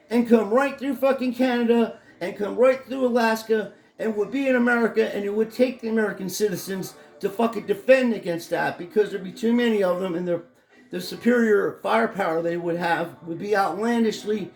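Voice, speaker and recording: A middle-aged man with a hoarse voice, ranting angrily and profanely in a rambling home vlog. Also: sits high, brisk, darkish tone, reverberant, soft background music. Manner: angry, profane, rambling rant. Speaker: vlogger. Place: his home in Florida.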